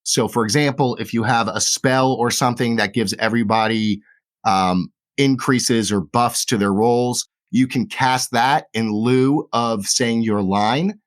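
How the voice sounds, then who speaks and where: A man acting as game master, explaining game rules quickly and plainly. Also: medium pitch.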